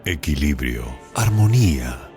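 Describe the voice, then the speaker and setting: A man reading sponsor messages in a slow, measured announcer style over a music bed. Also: a bass voice, lively intonation. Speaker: advertising announcer.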